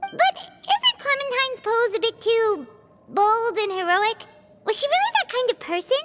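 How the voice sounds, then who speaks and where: Squeaky little fairy sidekick from a game, super high voice, jumpy tone. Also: female voice, big pitch swings, measured pace, exaggerated voice. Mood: playful. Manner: talks about herself in the third person. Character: tiny floating female companion creature, chirpy. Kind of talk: video game cutscene dub. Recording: game cutscene with loud music.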